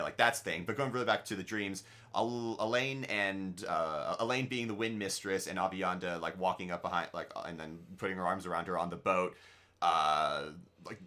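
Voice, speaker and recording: A man's voice: sits low, sharp tone. A man co-hosting a casual reaction discussion, rambling and stammering with lots of filler "like". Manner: rambling, stammering, heavy use of "like". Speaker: co-host of a TV review show.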